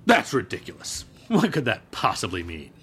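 Guy doing pompous cornered rich suspect, super dramatic swings, sharp bright voice, old radio play. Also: lowish voice, huge pitch swings, normal speed, slightly rough, full character voice. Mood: indignant. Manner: pompous, blustering. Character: indignant, haughty businessman cornered as murder suspect. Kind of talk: old-time radio melodrama acting.

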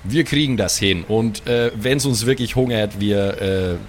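A man improvising as an old sailor in a tabletop game, gruff and chummy, sometimes adding what his character does. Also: on the low side, unhurried, slight background noise. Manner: gruff, chummy, sailor talk with stage directions. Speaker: player voicing an old sailor.

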